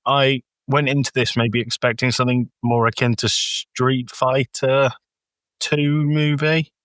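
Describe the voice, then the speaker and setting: A man's voice: mid-range voice, flat delivery, a little slow, fairly bright tone, clean tone, dry recording, rough recording. A man co-hosting a podcast, giving blunt, informal film opinions in casual chat. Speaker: podcast co-host.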